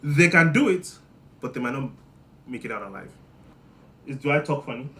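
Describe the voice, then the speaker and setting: A man from Nigeria with a Nigerian accent, giving a joking monologue that swings between loud bursts and low muttering. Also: expressive, moderate pace. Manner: playful, teasing, jumps between loud and muttered. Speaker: monologue host. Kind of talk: comedic monologue.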